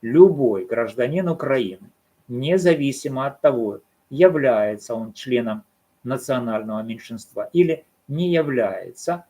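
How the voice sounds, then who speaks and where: Husky man giving argumentative commentary. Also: mid-range voice, expressive, unhurried, mellow. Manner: argumentative, poses rhetorical questions and answers them. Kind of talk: solo commentary on a court case.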